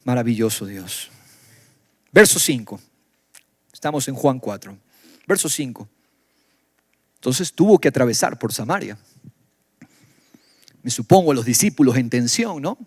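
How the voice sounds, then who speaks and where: A man from Ecuador preaching a sermon in Ecuadorian Spanish, moving between loud exclamations and low, quiet stretches. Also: expressive, unhurried, pauses often, breathy edge, dry recording, clean studio sound. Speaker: pastor. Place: church.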